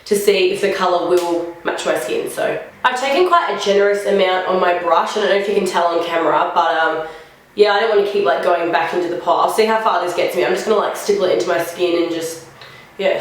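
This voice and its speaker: A woman's voice: on the low side, a little fast, slight background noise. A young woman vlogger chatting casually through a makeup try-on, sounding a bit nasal from a flu and filling her talk with "like". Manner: chatty, rambling, lots of "like" fillers. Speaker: beauty vlogger. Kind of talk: casual makeup first-impressions chat. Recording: very echoey room.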